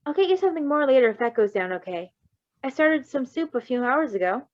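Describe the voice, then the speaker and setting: Woman reading as a calm, assured male doctor, dry sound. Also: sits high, a little fast, no room sound, pitched above the performer's own voice. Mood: calm, reassuring. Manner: assured, kindly, lightly wry. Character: calm, reassuring young male superhero doctor. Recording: dry, slightly muffled recording.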